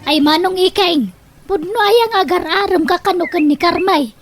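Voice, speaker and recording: A woman's voice: extremely high pitch, moderate pace, music in the background. A woman acting an outspoken, teasing young woman in a radio comedy drama. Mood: nagging, probing.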